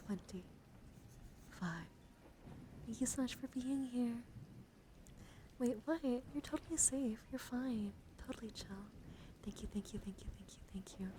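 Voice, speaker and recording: Woman, soft whispery ASMR, slow pace, gentle live-stream chat, noticeable background noise. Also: fairly high pitch. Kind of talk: ASMR, chatting with live viewers. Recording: clearly audible background noise.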